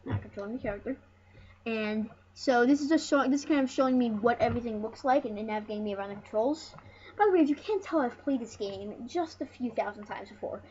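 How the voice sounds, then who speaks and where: A high-voiced speaker giving casual let's play commentary as host of a retro gaming series, rambling and often restarting phrases. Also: fast talker, darkish tone. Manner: rambling, repeats and corrects phrases. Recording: muffled, noisy home recording.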